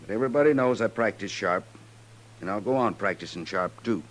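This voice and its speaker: A fairly deep American male voice of a hard, self-satisfied cattle baron, acted by a man. He deals sharp and will not bend, in the manner of vintage radio western drama. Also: animated, brisk, close to an everyday voice. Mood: smug, unyielding. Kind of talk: old-time radio drama acting.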